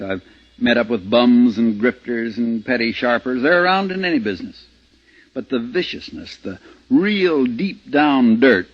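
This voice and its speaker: A man's voice: mid-range voice, lightly acted. An actor playing an ordinary middle-aged American family man in a 1950s radio drama, with a folksy, plain-spoken delivery. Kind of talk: 1950s radio drama acting, first-person narration and dialogue. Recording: muffled, noisy 1950s radio recording.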